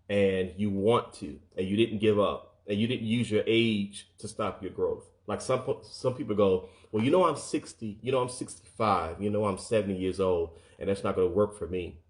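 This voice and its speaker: Male motivational speaker, earnest and encouraging, lowish voice, repeats for emphasis. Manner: earnest, repeats words, addresses listeners directly.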